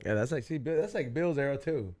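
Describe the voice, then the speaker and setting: A man's voice: medium pitch, lively intonation, quick pace, darkish tone. A man hosting a podcast in casual, rambling chat, cursing freely and laughing often. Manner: laid-back, rambling, swears a lot. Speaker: podcast host.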